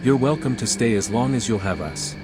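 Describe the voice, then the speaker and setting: A man playing a calm, plainspoken adventurer and party leader in a video game. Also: mid-range voice, fairly quick, over music, natural voice. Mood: calm, supportive. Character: calm, level-headed male adventurer leading a party.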